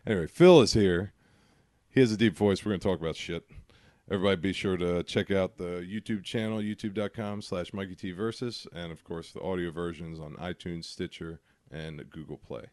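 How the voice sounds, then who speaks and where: Deep-voiced man, podcast host, joking banter, dry close sound. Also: normal speed, a little mellow, dry recording. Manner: loose, joking, crude banter. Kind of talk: casual drinking chat.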